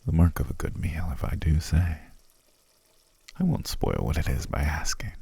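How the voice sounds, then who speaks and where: A man playing a courteous, flattering demon king in an intimate ASMR roleplay, with formal, old-fashioned phrasing and a husky, gravelly voice. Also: normal speed, dry recording, heavily acted. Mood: teasing, amused. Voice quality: very deep, gravelly, husky, breathy. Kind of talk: ASMR roleplay, intimate second-person acting.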